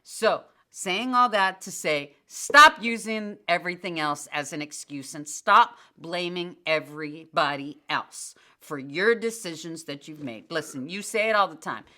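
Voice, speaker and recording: An older woman co-hosting a casual church podcast, giving plainspoken, motherly advice in a fairly monotone voice. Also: fairly low pitch, a little slow, hardly any pauses. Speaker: podcast co-host.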